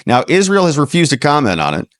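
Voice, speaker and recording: A man's voice: on the high side, huge pitch swings, fast talker, a bit bright, no echo, studio quality. An American man hosting a news commentary show, reading reports and breaking off into casual, sarcastic, incredulous asides. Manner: acts out imagined dialogue, mocking and incredulous.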